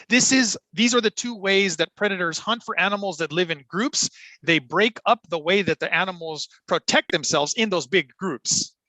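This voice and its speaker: A man coaching exam speaking on a livestream, encouraging and clear as he models answers and thinks aloud. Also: sits high, pushed and bright, no room sound, low-quality recording. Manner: upbeat and encouraging, clear deliberate delivery.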